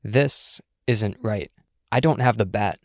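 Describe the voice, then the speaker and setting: A man's voice: on the low side, slow pace, dry recording. A man plays a troubled ghost boy in a script table read. He is sullen and resentful, with an angry, bitter edge. The sound is close and dry. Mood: uneasy. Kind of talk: screenplay table read acting.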